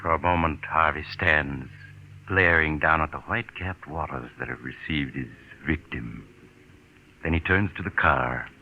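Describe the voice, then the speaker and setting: Extremely deep, ominous storyteller. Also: male voice. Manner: grave, measured, dramatic pauses. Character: ominous narrator of eerie suspense tales. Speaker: narrator. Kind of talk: 1940s radio suspense narration. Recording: dull, faintly noisy old radio recording.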